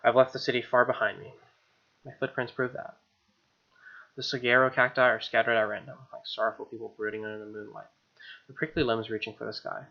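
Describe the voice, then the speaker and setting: A man's voice: medium pitch, flat delivery, a little fast, creaky, muffled recording. A man with an American accent reading a visual novel aloud as a gaming host, with casual asides. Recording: game music behind.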